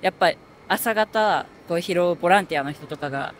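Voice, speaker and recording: A woman's voice: on the low side, fairly quick, a little hiss or hum. A young woman in her twenties answers casually and rambles with fillers into a handheld mic on a street. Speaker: interviewee. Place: city street outdoors. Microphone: handheld mic held by interviewer.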